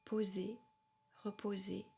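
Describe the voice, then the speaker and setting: A woman guiding a yoga nidra meditation in a soft, breathy, calm and soothing voice, with long pauses and repeated phrasing. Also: mid-range voice, slight background noise. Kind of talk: guided meditation, spoken slowly.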